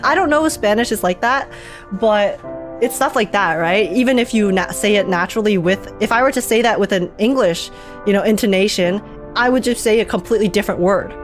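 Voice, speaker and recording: Chatty laughing woman streamer. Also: medium pitch. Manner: rambling, laughing often, many fillers. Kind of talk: live game reaction chat.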